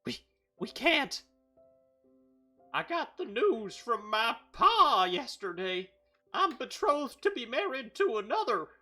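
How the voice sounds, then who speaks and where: Woman doing a tragic young guy lover, high and dramatic, swoops around a lot. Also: very animated, slow, pushed and bright, soft background music, full character voice. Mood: anguished.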